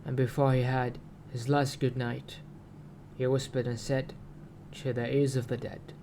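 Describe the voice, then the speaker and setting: A man reciting his own poetic story in a solemn, deliberate manner, with broken phrases and long pauses. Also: medium pitch, little pitch movement, vocal fry. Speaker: writer reading own story.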